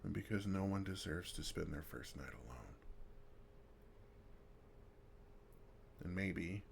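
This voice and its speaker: Man acting as a gravelly, deep, gentle vampire. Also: slight background noise. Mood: tender, wistful. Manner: soft-spoken, reassuring, gently teasing with pauses. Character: kind, wry older male vampire comforting a fledgling. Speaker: ASMR roleplay performer. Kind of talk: intimate ASMR roleplay, second-person comforting.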